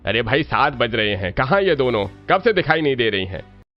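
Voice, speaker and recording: A man's voice: medium pitch, sing-song, normal speed, highs cut off, soft background music, close to an everyday voice. A man voicing an irritable middle-aged uncle in a cartoon family story. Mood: irritated, stern.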